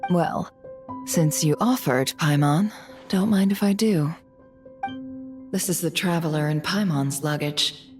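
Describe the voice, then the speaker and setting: A young woman investigator in a video game, gentle and reflective. Her voice sits on the low side for a woman. She speaks calmly, with light music underneath. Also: takes it slowly, long pauses. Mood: thoughtful.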